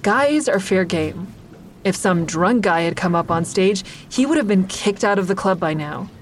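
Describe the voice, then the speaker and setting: A woman's voice: mid-range voice, animated, normal speed, a little hiss or hum, close to an everyday voice. Actress as a wry female comedian narrating. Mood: wry, reflective. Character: wry female stand-up comedian telling her own story. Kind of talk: first-person audio drama narration.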